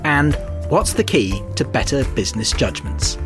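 A man's voice: medium pitch, big pitch swings, normal speed, gravelly, music in the background. A man hosting a current affairs podcast, reading scripted introductions and putting questions to a guest. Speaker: podcast host. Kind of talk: scripted presenting and interviewing.

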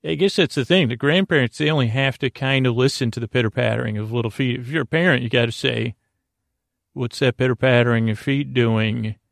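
A man hosting a bedtime podcast in a creaky, lulling voice, meandering through a halting monologue full of tangents and self-interruptions. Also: medium pitch, no echo, studio quality. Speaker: podcast host.

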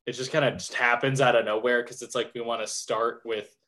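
Man, mid-range pitch, rambling, thinks aloud, casual film chat. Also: steady tone, fairly quick, a bit bright. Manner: rambling, lots of fillers and restarts, thinks aloud.

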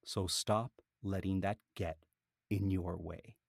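A man speaking as a podcaster and author, telling things in an animated, conversational way. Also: low voice, slow, gravelly, dry recording, studio quality. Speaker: podcaster and author, interview guest. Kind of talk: casual conversational interview, with a read-aloud book passage.

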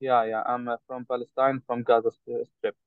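A man born in Saudi Arabia, speaking haltingly with many hesitations as a guest in an interview. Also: mid-range voice, flat delivery, clear, resonant tone, dry recording. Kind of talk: interview over a call. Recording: tinny choppy call line.

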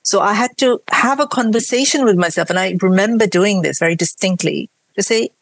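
A woman's voice: medium pitch, a little fast, gravelly, no room sound. A woman from India with a mixed Indian and British accent, speaking earnestly and reflectively as a guest in a podcast conversation. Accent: Indian, from Mumbai, Bangalore and Kerala, mixed with British.

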